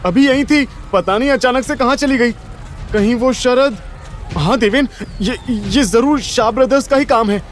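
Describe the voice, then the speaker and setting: A man's voice: very high voice, moderate pace, voice pushed up. A man voicing an anxious young college student who rambles and stammers in panic, in an audio drama. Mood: panicked, worried. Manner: agitated, stammering, non-stop talking. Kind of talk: Hindi audio drama acting.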